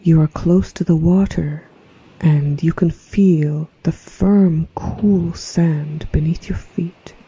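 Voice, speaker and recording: A woman narrating a guided meditation in a soft, breathy, half-whispered voice with a gentle, soothing, hypnotic manner. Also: low voice, fairly even intonation, slow pace, poor audio. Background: relaxation music.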